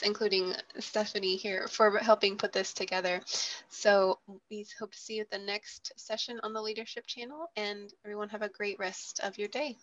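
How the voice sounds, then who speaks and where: A woman's voice: mid-range voice, a bit bright, highs cut off. A woman moderator in a friendly manner, thanking the audience and wrapping up an online conference session over a video call.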